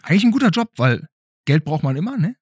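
A man, middle-aged, hosting and telling stories in a casual, chatty way with a husky voice. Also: on the high side, fast, no echo, studio quality. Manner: animated, chatty. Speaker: host. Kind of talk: casual storytelling and chat with a child.

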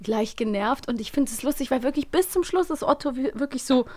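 A woman with a fairly high voice co-hosts a casual podcast. She talks in a humorous, chatty style and retells things in an animated way, slipping into little acted-out bits. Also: brisk. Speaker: podcast co-host.

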